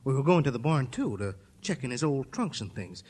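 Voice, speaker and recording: A man's voice: mid-range voice, big pitch swings, fairly quick, a little mellow, breathy edge. An actor playing an earnest, anxious and eager young country man in an old radio drama. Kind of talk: 1940s-50s radio drama acting.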